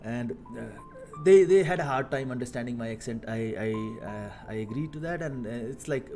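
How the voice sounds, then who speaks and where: A man in his thirties from India with an Indian accent, talking casually on a coding live stream, halting with many fillers and repeated words. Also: mid-range voice, dark tone, soft background music. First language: Hindi. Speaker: live-streaming software engineer.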